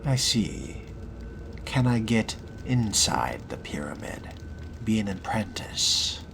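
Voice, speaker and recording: A man's voice: fairly low pitch, very animated, slow pace, vocal fry, over music, full character voice. A man playing a cool, curious newcomer vampire in a video game role-play, talking in a laconic, casual way. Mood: cool, inquisitive.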